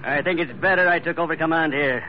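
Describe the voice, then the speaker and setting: A menacing schooner captain, played by a man, gives orders in blunt, broken grammar, with a quiet threat under every line. His voice is somewhat high, heard through a dull old radio recording with a clear hiss. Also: fast talker, lightly acted. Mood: commanding.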